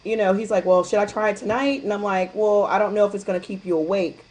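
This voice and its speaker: A woman with a middle-pitched voice chats frankly on a group call, telling long stories and slipping into the voices of people she quotes. She talks in an easy, open, unguarded way. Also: fast talker, darkish tone, poor audio. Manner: candid, chatty storyteller who acts out quotes. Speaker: guest distributor sharing her experience. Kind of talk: casual chat on a conference call.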